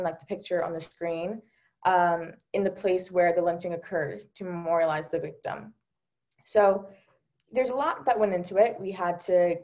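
A woman's voice: fairly low pitch, a little mellow. A young woman from Delaware giving an earnest personal account on a video call, with lots of ums and you-knows. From Delaware, USA. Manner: earnest, many fillers like um and you know. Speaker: panelist. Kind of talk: personal account on a virtual panel.

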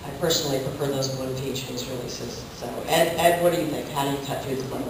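A man's voice: medium pitch, fast talker. A man moderating a conference panel and putting questions to the speakers. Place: hotel conference ballroom, recorded from the audience. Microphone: distant camera picking up the room sound. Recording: distant, very echoey room recording, clear noise.

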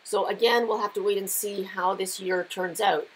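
A woman's voice: mid-range voice, fairly even intonation, normal speed, husky, low-quality recording. A middle-aged woman giving informal market commentary in a conversational, explanatory way. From Canada. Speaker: lumber market analyst and host. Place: small indoor office with shelves of files.

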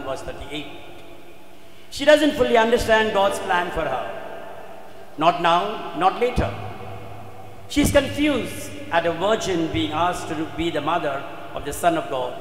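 Slow, echoing priest preaching solemnly. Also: male voice, a little high, animated. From India. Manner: solemn, emphatic, dramatic delivery with repeated phrases and pauses. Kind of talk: sermon. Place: inside a church. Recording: echoey church hall, faint background noise.

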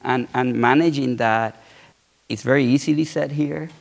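Gravelly-voiced man chatting on a conference stage. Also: medium pitch, normal speed. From Puerto Rico. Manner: many restarts and repeated words, conversational. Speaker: guest entrepreneur. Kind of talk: conference fireside chat. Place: large auditorium stage.

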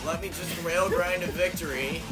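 A man's voice: fairly high pitch, steady tone, moderate pace, natural voice. A man voicing a laid-back, wisecracking young hedgehog hero in an improvised game fan dub, casual and joking. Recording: game music behind.

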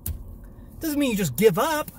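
Emphatic bearded homesteader vlogging from a car. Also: male voice, very high-pitched, sing-song, unhurried, darkish tone, slight background noise. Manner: emphatic, repeating words for emphasis. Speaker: homesteading channel host. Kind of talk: casual selfie vlog rant. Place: parked car, indoors. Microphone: phone or camera at arm's length.

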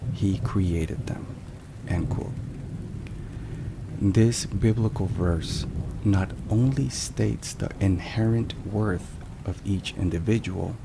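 A man narrating scripted religious ASMR in a deliberate, measured way. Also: on the low side, gravelly, background noise. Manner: slow, deliberate, measured phrasing. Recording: steady rain and thunderstorm sounds behind. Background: rain and thunder.

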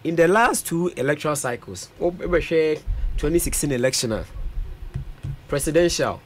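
A man's voice: fairly high pitch, lively intonation, normal speed. A young Ghanaian man speaking as a parliamentary aspirant in a radio studio interview, mixing Twi with English and reeling off vote figures at a studio mic. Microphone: studio mic on a boom.

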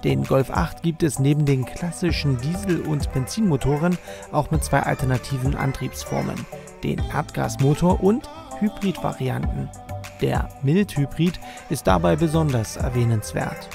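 A man's voice: a little high, moderate pace, vocal fry, over music. A man reading a scripted voice-over for a television news report. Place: voice-over recording with music behind.

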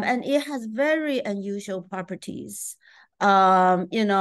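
Middle-aged woman from Taiwan, scientist guest on a video call, slow and measured, reflective. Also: mid-range voice. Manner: measured, thoughtful with frequent ums. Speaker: neuroscientist guest. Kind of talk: interview over video call. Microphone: video call webcam.

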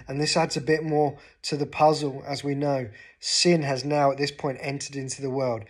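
An earnest English man in his forties gives a brief home devotional, speaking close to a phone camera from his living room sofa. His voice sits a little high for a man, and he talks with his hands as he goes. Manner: earnest, gestures with his hands while talking. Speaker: pastor. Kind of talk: short devotional talk to camera.

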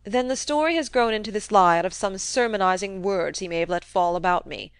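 Woman voicing a sulky, polite young gentleman. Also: mid-range voice, brisk, smooth, no room sound, clean studio sound, natural voice. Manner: stiffly polite, subdued.

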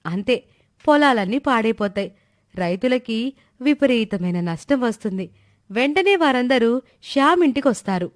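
A woman's voice: a little high, lively intonation, normal speed, pauses often, dry recording, studio quality. A woman narrator reading a scripted moral story in a storytelling style.

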